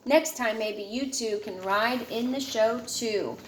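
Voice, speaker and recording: An eager, kind-hearted young girl from a pony storybook, read by a woman. The voice is high and expressive. It sounds in an echoey room with faint to clear background noise. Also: slow pace. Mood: encouraging.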